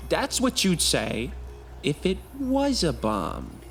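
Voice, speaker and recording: Man acting a haughty, suspicious guard, lively pitch. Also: slow pace, a little hiss or hum, exaggerated voice. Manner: dismissive, challenging. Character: haughty, suspicious male soldier guarding a rebel hideout. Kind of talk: dramatic fan dub acting.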